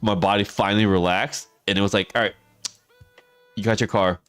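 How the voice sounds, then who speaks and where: A man with a very low, raspy voice, hoarse from losing it, talks easily. The sound is close and dry, with soft music playing behind him. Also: steady tone, a little fast, no room sound. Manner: chatty, talks to viewers by name, laughs often. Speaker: streamer. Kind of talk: casual livestream chat.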